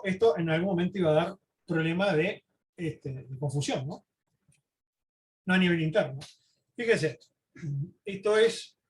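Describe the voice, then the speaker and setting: A man giving an informal slide lecture, halting, repeating words and often adding short questioning tags. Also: high-pitched, slight background noise. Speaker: presenter giving a history talk.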